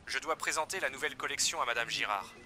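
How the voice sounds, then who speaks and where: A man reading everyday French dialogues for learners, with clear, measured scripted delivery. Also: medium pitch, sharp tone.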